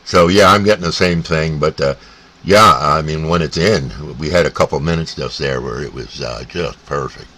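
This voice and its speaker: A North American man chatting casually as a CB radio operator, in a halting, laid-back way. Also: bright, forceful tone. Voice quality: deep. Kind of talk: casual two-way radio chat. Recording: faint static and radio hiss.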